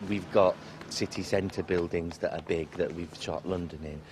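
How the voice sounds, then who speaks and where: A man's voice: low-pitched, steady tone, normal speed. A man speaking enthusiastically in an interview outdoors at an industrial location. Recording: loud background noise.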